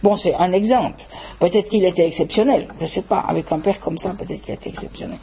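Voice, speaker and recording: An older woman teaching at a public talk, explaining in a measured way and stretching words for emphasis, with a slightly husky voice. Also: lowish voice, dark tone, muffled recording, a little hiss or hum. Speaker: Esperanto teacher giving a talk. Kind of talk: public talk with audience questions.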